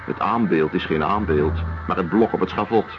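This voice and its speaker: Man acting a deep-voiced, thoughtful traveling doctor. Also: natural voice. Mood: questioning, indignant. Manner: measured, philosophical, deliberate phrasing. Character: reflective, compassionate, morally indignant male doctor traveling through Russia. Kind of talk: Dutch radio drama acting. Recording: dull lo-fi radio recording, music behind.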